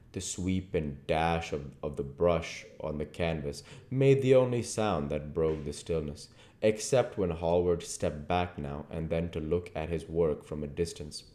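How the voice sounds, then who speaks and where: A man's voice: low-pitched, warm tone. A man reading aloud as a storyteller and channel host, narrating in prose with the odd stumble. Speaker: audiobook reader and channel host. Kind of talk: novel narration, read aloud.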